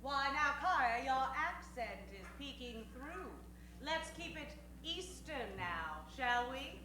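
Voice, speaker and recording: A woman playing a prim, gently correcting schoolteacher in an improvised old-time radio western comedy, speaking in a measured way. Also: sits high, big pitch swings, full character voice. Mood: gently scolding. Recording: echoey live stage.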